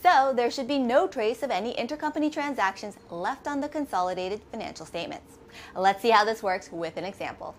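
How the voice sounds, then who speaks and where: A woman's voice: fairly high pitch, lively intonation, fairly quick, slight background noise. A woman instructor narrating a scripted lesson step by step, methodically, posing questions and answering them herself. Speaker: accounting instructor.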